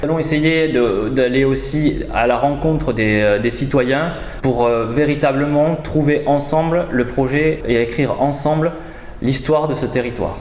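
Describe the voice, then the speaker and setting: A man speaking as a local official, giving measured explanations in formal wording, with frequent hesitations. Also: medium pitch, darkish tone. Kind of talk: explanatory interview answers. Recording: roomy echo, faint background noise.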